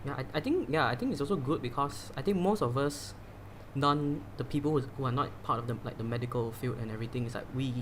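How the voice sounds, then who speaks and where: A man's voice: medium pitch, quick pace, a little hiss or hum. A man from Malaysia hosting a casual podcast chat, talking loosely with many restarts. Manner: chatty, many fillers and restarts. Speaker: podcast host. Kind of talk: casual conversational interview.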